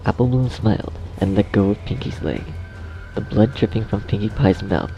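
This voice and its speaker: A man reading scripted dark fiction as a narrator in a measured storytelling manner. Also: lowish voice, fairly even intonation, muffled recording, soft background music.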